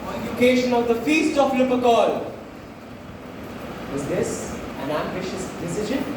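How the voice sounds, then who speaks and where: A grieving, persuasive Roman orator, acted by a young man in a school play. The voice is very high and declaims with heavy pauses. It comes from far off in a very reverberant hall with clear background noise. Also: sing-song. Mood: solemn, ironic. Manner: slow, declamatory, emphatic pauses. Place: school stage, recorded from audience distance. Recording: echoey hall, distant mic, noisy.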